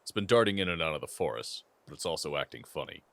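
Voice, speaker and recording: A man's voice: extremely low pitch, lively intonation, quick pace. A man acting a cautious, serious leader of werewolf scouts in an audio drama. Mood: worried, urgent.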